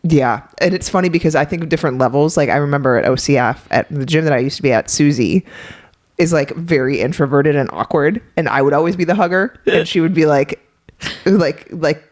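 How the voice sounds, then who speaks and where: A young adult woman co-hosting a health podcast, talking in a chatty, quick way with frequent laughs. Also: deep voice, darkish tone, no echo, studio quality. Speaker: podcast co-host, health coach. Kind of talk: casual podcast chat.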